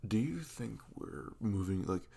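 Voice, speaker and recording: Male actor as goofy, sweet young boyfriend for intimate ASMR roleplay; gravelly, very animated delivery, halting and reassuring. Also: lowish voice, exaggerated voice. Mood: earnest, reassuring. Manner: halting, reassuring, casual, with soft chuckles. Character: sweet, goofy, self-conscious young boyfriend in new romance.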